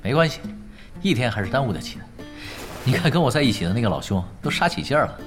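A man's voice: medium pitch, big pitch swings, fast, faint music behind, natural voice. An actor playing a cool, unflappable male veteran gamer in a Chinese audio drama, casual, confident and teasing. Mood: relaxed, confident.

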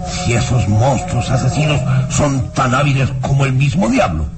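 An actor playing an arrogant, irascible baron in a vintage radio drama, with a theatrical, sinister, scornful delivery. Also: male voice, mid-range voice, animated, moderate pace, music in the background, lightly acted. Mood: ominous, menacing. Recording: noisy old radio drama recording.

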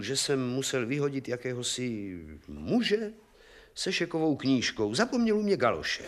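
A man playing an irascible, absent-minded zoology professor in a radio play, halting and correcting himself as he blusters. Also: fairly high pitch.

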